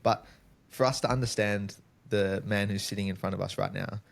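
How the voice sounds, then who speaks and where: Podcast host: man, low and flat, relaxed and encouraging. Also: creaky. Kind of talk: casual interview.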